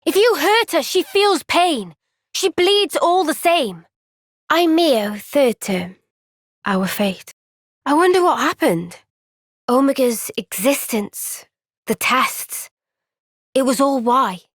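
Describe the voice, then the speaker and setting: A woman with a British accent voicing an earnest, gentle, thoughtful young woman soldier in a video game cutscene. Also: sits high, lively intonation, slow pace, pauses often, no echo, clean studio sound. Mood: earnest, pleading, then calm, serious.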